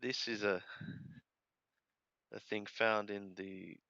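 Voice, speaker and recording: A man reading scripture aloud and translating it phrase by phrase, in a deliberate, halting way with careful enunciation. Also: fairly low pitch, steady tone, gravelly, sharp tone, dry recording, muffled recording. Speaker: Bible reader and translator.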